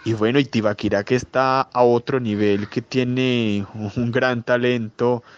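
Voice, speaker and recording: A man from Colombia hosting an interview, asking measured, formal questions. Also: mid-range voice, fairly even intonation, clean tone, dull audio. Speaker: interviewer host.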